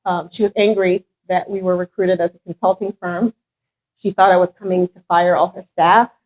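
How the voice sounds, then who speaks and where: A woman's voice: on the low side, steady tone, normal speed, rough recording. A middle-aged woman who grew up in France and whose first language is French, leading a charitable foundation and giving an earnest story-driven speech with a touch of self-deprecating humor. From Ghana, raised in France. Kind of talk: public speech at a meet and greet.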